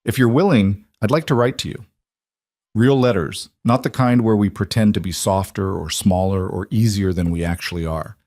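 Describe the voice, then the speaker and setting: A frank, plainspoken frontier seamstress in her late thirties, read aloud by a man. Her letter is spoken in a low, steady, blunt voice. The recording is dry and close, with no room sound. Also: moderate pace, no room sound, close to an everyday voice.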